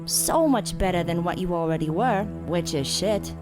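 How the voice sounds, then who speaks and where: A woman voicing a calm, murderous female gardener who speaks softly and without hurry, in an audio drama with music behind her. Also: lowish voice, close to an everyday voice. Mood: cold menace. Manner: soft, unhurried, menacing.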